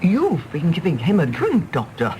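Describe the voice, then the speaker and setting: A woman's voice: sits low, huge pitch swings, moderate pace, muffled recording, noisy background. Bossy, swooping elderly mother. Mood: brisk scolding. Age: older. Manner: imperious, fussy, scolding. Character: bossy, fretful elderly mother, domineering and disapproving. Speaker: actor. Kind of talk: British radio drama acting.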